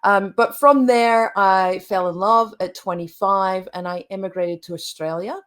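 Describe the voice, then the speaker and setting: A woman's voice: medium pitch, fairly even intonation, a bit bright. Reflective woman in her late forties. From Scotland. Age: late 40s. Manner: reflective, halting, many restarts. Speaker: coach, guest. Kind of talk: conversational interview.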